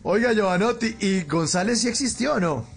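A man's voice: sits high, expressive, brisk, vocal fry, faint music behind. A man with a Colombian accent hosting a radio show, bantering casually with a guest.

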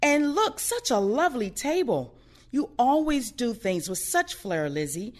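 Actress as sassy outspoken older lady in a radio play, highish swoopy voice, takes her time. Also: a little slow. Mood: admiring. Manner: outspoken, sardonic, expressive. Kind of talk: audio play acting.

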